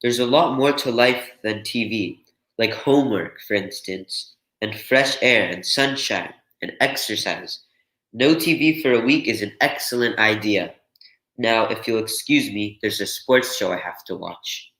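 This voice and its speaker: A man's voice: lowish voice, unhurried, a bit bright, roomy sound, low-quality recording. A man voicing a stern, self-important father bear with an emphatic, lecturing manner for a storybook.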